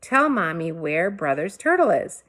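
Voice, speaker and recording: A woman's voice: on the low side, big pitch swings, unhurried, a bit bright, exaggerated voice. Woman acting a fussing, disbelieving storybook mother. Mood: coaxing disbelief. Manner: scolding and coaxing. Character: fussing, disbelieving mother of two young boys.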